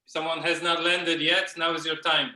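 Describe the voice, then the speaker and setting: Male improv workshop leader, forceful bright tone, slightly high pitch, speaking on a video call. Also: flat delivery, moderate pace. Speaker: workshop facilitator. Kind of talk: leading an improvisational theatre workshop. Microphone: computer video call.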